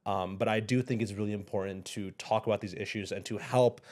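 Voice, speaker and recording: A young man as a guest on an interview show, speaking thoughtfully, pausing often and restarting his sentences. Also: lowish voice, fairly even intonation, darkish tone. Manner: thoughtful, hesitant, many fillers and restarts. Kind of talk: casual interview.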